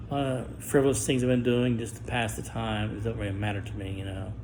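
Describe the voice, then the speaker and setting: A middle-aged man speaking a personal monologue to camera, guarded and halting, with stammered restarts and long pauses. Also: lowish voice, flat delivery, darkish tone, a little hiss or hum, poor audio. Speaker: vlogger.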